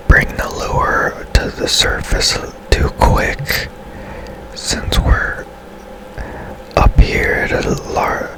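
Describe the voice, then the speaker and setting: A man speaking in a breathy, unvoiced whisper, giving casual, unhurried ASMR commentary as he plays a game. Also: very high-pitched, rough recording. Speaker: gaming ASMR host.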